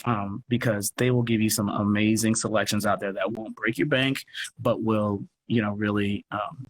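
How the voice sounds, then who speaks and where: A man talking earnestly in a casual online interview, haltingly as he thinks aloud. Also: lowish voice, fairly even intonation, low-quality recording. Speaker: podcast producer and guest. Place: live social media video call.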